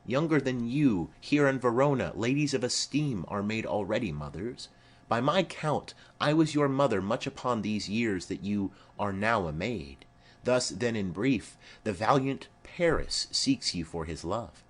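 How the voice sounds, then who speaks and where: Man reading a commanding noblewoman, slow and animated. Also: fairly low pitch. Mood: persuasive. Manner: formal, imperative. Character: commanding noblewoman mother, formal and insistent. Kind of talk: Shakespeare play reading.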